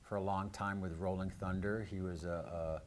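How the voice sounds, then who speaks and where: A man's voice: low-pitched, steady tone, normal speed. A man presenting a live talk show as host, in a hesitant, rambling way. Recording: clearly noisy live-stream room sound.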